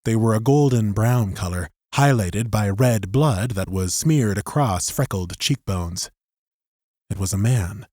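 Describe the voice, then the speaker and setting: Casting: male narrator for dramatic sci-fi audiobook, lowish voice, close and dry studio sound. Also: measured pace, a little mellow, no room sound.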